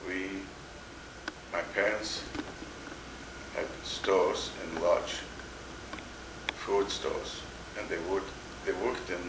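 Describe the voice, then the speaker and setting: An older man from Poland giving oral history testimony in a slow, halting way, often repeating words. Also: low voice, fairly even intonation. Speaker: interviewee, Holocaust survivor. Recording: echoey room with background noise.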